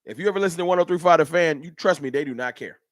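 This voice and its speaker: A man's voice: a little high, very fast, dry recording, studio quality. A man from Dallas, hosting a live sports fan stream and arguing heatedly with his chat. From Dallas, Texas. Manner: animated, argumentative, heated ranting. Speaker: sports fan livestream host.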